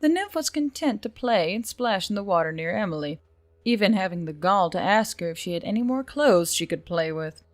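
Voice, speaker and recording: A woman's voice: medium pitch, animated, fairly quick, dry recording. A woman reading scripted fantasy fiction as an audiobook narrator.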